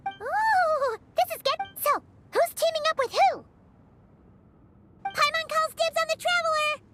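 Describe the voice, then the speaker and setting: A woman voicing a tiny, excitable, childlike fairy companion in a video game, with a squeaky voice. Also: measured pace, background noise. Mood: excited, eager. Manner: refers to self by name. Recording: loud music behind.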